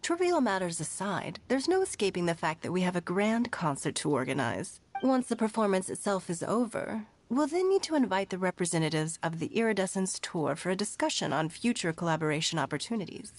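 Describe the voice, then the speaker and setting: An actress playing a courteous, composed noblewoman leader in a video game, speaking in a formal, measured way. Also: lowish voice, sing-song. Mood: gracious. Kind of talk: video game character dialogue.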